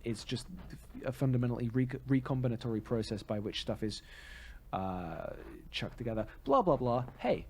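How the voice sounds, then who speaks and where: Male podcast co-host, medium pitch, thoughtful rambling delivery with self-corrections, faint noise behind. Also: big pitch swings, normal speed. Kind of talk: casual opinionated discussion.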